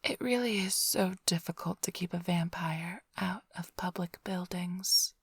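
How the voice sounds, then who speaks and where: A woman's voice: lowish voice, measured pace, dry recording. A woman playing a flirtatious, smug female vampire thief in a whispered, teasing ASMR roleplay, drawling close to the microphone. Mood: hushed, appraising. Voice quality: breathy, whispery. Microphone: close-up studio microphone, dry.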